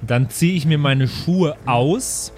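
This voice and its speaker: A man's voice: a little high, slow, darkish tone, faint music behind. A man playing a tabletop role-playing game, speaking casually in character with earnest, naive-sounding reasoning.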